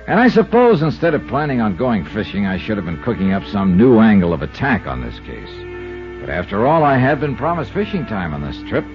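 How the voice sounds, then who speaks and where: An actor playing a brisk, wry insurance investigator who also narrates, in a 1950s radio detective drama. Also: male voice, on the low side, very animated. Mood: wry narrating. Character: brisk, confident male insurance investigator hero. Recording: dull 1950s radio recording with music.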